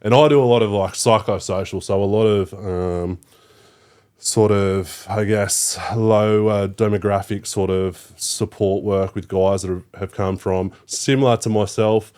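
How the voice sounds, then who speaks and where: A man's voice: fairly low pitch, steady tone, unhurried, a little mellow, clear, resonant tone. Laid-back Australian man in his thirties. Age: 30s. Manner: laid-back, swears freely, many fillers. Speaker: podcast guest, youth worker. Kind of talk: casual interview chat.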